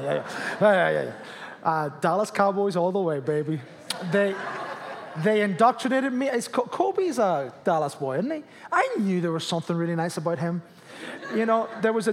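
A man, a guest pastor preaching a jokey, animated sermon to a church crowd. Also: high voice. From Spain, Canary Islands. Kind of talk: sermon with humor. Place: church hall with audience. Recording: long reverb of a large hall.

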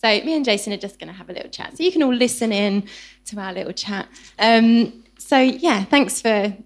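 A woman's voice: mid-range voice, lively intonation, brisk. A woman casually interviewing a guest in front of a church, asking friendly questions.

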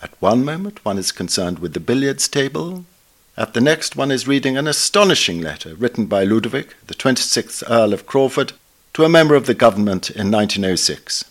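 A man reading a scripted book review aloud in a formal, measured, scholarly manner. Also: medium pitch, big pitch swings.

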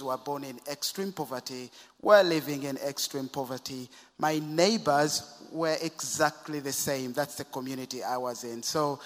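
A man's voice: medium pitch, fairly bright tone. A man from Uganda sharing his personal testimony at a church service, reflective and speaking directly to the audience. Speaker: guest telling his life story. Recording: church hall reverb.

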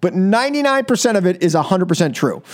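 High-pitched, animated man hosting comedy talk. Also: fast talker. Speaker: comedy talk show host. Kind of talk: casual, joking chat.

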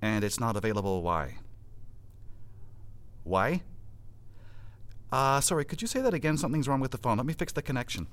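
An actor playing a harried, sarcastic, sweary government boss in a satirical audio drama. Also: male voice, medium pitch, animated, moderate pace, pauses often, noisy background, lightly acted. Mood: strained politeness. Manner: wry, exasperated, sweary.

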